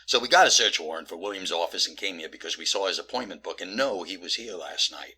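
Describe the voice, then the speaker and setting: An actor playing a sarcastic, exasperated police detective in a comic audio drama, with wry, theatrical emphasis. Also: male voice, low-pitched, lively intonation, fast, sharp tone, slightly rough, full character voice. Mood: businesslike, wry.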